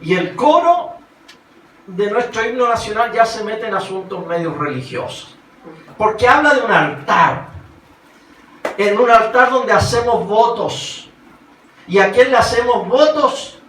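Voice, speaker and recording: A roomy recording, picked up from a distance with faint noise, carries a Chilean man preaching. His voice sits a little high for a man. He speaks as a pastor to a congregation and keeps putting rhetorical questions to them. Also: a bit bright. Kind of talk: sermon.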